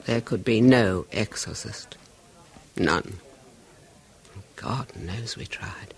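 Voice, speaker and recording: An anguished violinist's wife in an old radio play. Her voice is husky and gravelly, sitting fairly high, and it swings widely in pitch. She confides her story intensely, sinking into hushed whispers. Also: exaggerated voice. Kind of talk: 1970s radio drama acting.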